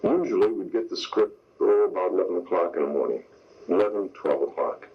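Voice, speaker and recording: Deep, husky older man reminiscing. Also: lively intonation, fairly quick. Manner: explanatory, step by step. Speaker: veteran radio sound effects man, interview guest. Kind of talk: interview reminiscence. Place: radio interview. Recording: dull lo-fi radio broadcast tape.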